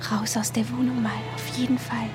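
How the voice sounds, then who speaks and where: A woman's voice: fairly high pitch, little pitch movement, brisk, music in the background, natural voice. An actress playing a dreamy, restless young girl in a radio play, wistful and pleading, often slipping into whispers. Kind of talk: 1970s German radio play acting.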